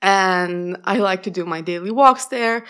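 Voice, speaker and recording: A woman's voice: medium pitch, unhurried, fairly bright tone, clear, resonant tone. A woman whose first language is Romanian, telling stories casually with frequent laughs and restarts. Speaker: guest. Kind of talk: casual reflective conversation.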